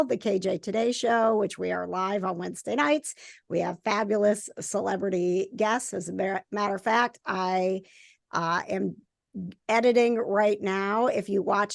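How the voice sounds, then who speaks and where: A woman's voice: mid-range voice, vocal fry, no room sound, clean studio sound. A woman guest in a casual chat, rambling with many fillers. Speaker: guest, community founder.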